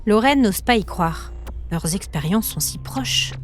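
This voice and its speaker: A woman narrating a scripted story podcast in a measured, suspenseful, dramatic way, with short weighted phrases. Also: mid-range voice, lively intonation. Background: music.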